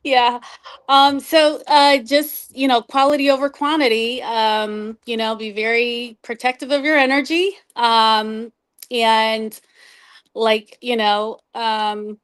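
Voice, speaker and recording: Hesitant woman, halting and apologetic. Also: on the high side, fairly even intonation, clear, resonant tone. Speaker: co-host. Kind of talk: casual chat on a live video call.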